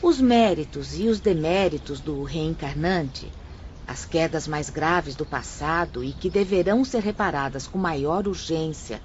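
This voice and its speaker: Woman voicing a calm kind spirit teacher lady, explains everything patiently, kinda dull audio w/ faint hiss. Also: sits low, unhurried. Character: gentle female spirit instructor Rosália. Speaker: instructor guiding new apprentices. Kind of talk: audio drama acting.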